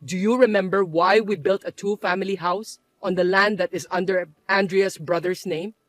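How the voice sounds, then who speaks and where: A woman voicing a calm adult son and husband who explains things to his mother in a firm, patient way. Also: medium pitch, moderate pace, no room sound, natural voice. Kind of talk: dramatized story voice acting.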